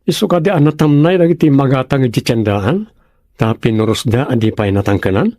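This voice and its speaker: Animated Ilocano family man, acted by a man. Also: medium pitch, a little fast, dry recording, studio quality, natural voice. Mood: friendly. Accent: Filipino Ilocano. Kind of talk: radio drama acting in dialogue.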